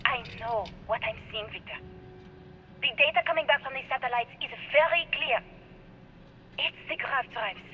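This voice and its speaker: An actress playing a worried, principled scientist in a video game, earnest and articulate on a recorded log. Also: female voice, high-pitched, expressive, normal speed, long pauses, narrow, tinny audio. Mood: insistent, alarmed. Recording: noisy audio log.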